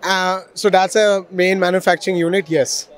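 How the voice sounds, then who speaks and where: Casting: Indian man, thirties, high-pitched voice, fluent corporate spokesperson giving promotional interview answers. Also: flat delivery, moderate pace, some chatter behind. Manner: fluent, business jargon, explanatory. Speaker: company marketing manager interviewed. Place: exhibition stall indoors. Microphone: handheld reporter microphone held at chest.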